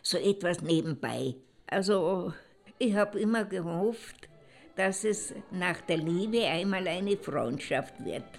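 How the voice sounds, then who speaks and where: A woman's voice: on the low side, expressive, takes it slowly, creaky, heavily acted. A woman playing an older, jilted woman in an audio drama, rambling in a wistful, resigned way.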